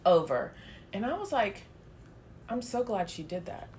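A woman in a casual chat, telling a story in an earnest, measured way. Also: mid-range voice, very animated, a little mellow, a little hiss or hum.

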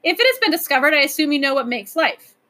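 A woman voicing a lonely, excitable, imperious male magical creature king from a storybook, grand and insistent in manner. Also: sits high, fast talker, voice pushed up, full character voice. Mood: excited, insistent. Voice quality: lisping.

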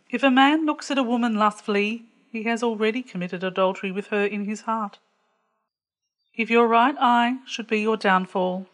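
A woman reading a gospel text aloud in a measured, clearly phrased way. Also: medium pitch, fairly bright tone. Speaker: scripture reader.

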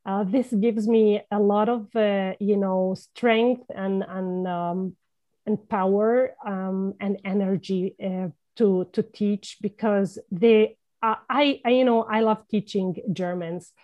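A woman with a Levantine Arabic accent recounts her path into teaching in an unhurried, halting way. Fillers and restarted phrases run through her speech, and the pitch sits at a middle level. The sound is dull and lo-fi, like remote call audio. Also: steady tone. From Palestine. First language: Palestinian Arabic. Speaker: language teacher and former journalist, guest. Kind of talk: conversational podcast interview.

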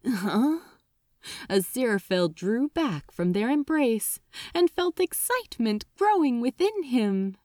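A woman reads fiction aloud in an expressive, dramatic style. Her pitch moves widely, her pace is unhurried, and the sound is dry and close. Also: dry recording. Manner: dramatic, deliberate phrasing. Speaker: audiobook reader. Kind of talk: expressive scripted fiction narration.

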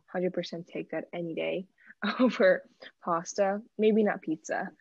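Husky young woman, dull audio. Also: fairly low pitch, steady tone, measured pace, darkish tone, no room sound. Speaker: student discussion participant. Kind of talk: casual group discussion.

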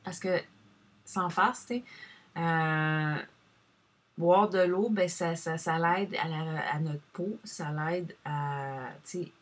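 A woman's voice: deep voice, takes it slowly. A woman with a Québécois French accent chatting casually to viewers, rambling and addressing them directly as she shares advice.

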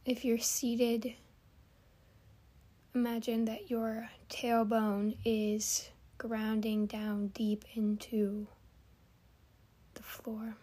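Slow, soft, higher-pitched woman guiding meditation. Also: level tone. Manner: soothing, gentle instruction with long pauses. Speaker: host and meditation guide. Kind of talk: guided meditation.

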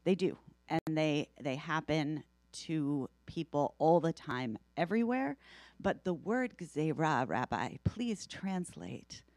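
Female discussion participant: lower register, insistent and earnest in debate, dry close recording. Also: animated, slow, darkish tone, no echo. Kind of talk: earnest study-class debate.

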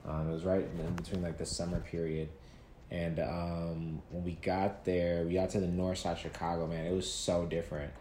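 A man in his thirties from Nigeria, a guest talking casually about his life, relaxed, with many fillers and restarts. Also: low-pitched, normal speed. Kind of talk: casual interview storytelling. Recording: echoey room with faint noise.

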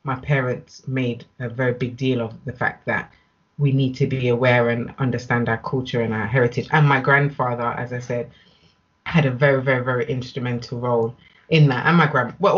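A woman's voice: rumbling low, fairly even intonation, brisk, husky, muffled recording. A British woman speaking as an interview guest in a casual, candid and emphatic conversational way. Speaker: interview guest, business owner.

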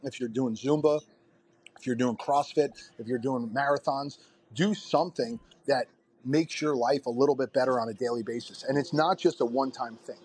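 A man in his forties talks in an energetic, rambling way with plenty of swearing. His voice is a bit husky, at an average male pitch. He sounds like a podcast host in a loose conversation. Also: moderate pace. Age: 40s. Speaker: fitness coach and podcast host.

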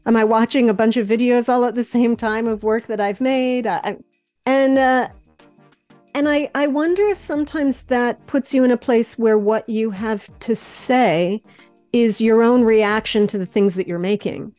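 A woman's voice: on the high side, steady tone, normal speed, a little mellow, no echo, soft background music. A woman speaking as a guest in casual conversation, reflective and hesitant, often questioning herself as she goes. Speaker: guest, dance maker and teacher.

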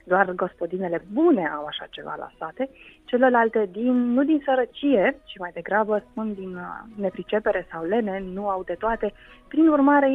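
Woman, middle pitch, explanatory radio guest, hesitant pauses, slightly dull sound, music behind. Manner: hesitant, with frequent pauses mid-phrase. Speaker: guest food culture researcher. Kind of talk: radio interview, explanatory storytelling.

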